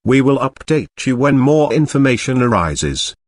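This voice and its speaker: A man's voice: medium pitch, big pitch swings, moderate pace, no room sound, clean studio sound, lightly acted. A man playing a stern government emergency announcer, delivering formal scripted warnings. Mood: urgent, stern.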